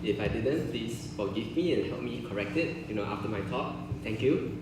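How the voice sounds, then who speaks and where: A man from Singapore, giving a friendly, halting conference talk full of filler "uh"s in a large conference hall. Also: fairly low pitch, expressive, a little mellow. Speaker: conference speaker. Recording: very echoey hall, from far, noisy.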